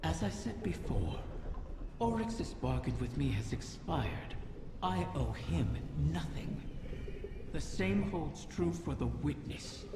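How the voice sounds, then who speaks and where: A performer voicing an ancient, sly dragon in a video game, slow, deliberate and menacing, speaking with formal, taunting pride. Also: medium pitch, vocal fry, noisy background. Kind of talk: dramatic video game villain acting. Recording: cavernous reverberant echo over music.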